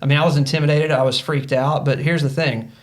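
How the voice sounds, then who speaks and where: A man's voice: on the high side, steady tone, fast talker. A man in his thirties, a podcast guest, telling his story in an earnest, motivational way with many fillers. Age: 30s. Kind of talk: casual podcast conversation.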